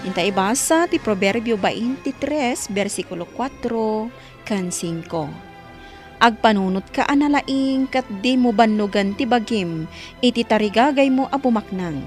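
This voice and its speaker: A woman's voice: on the high side, expressive, measured pace, soft background music. A woman with a Filipino accent presenting a radio drama in a scripted, devotional narrating style. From Philippines.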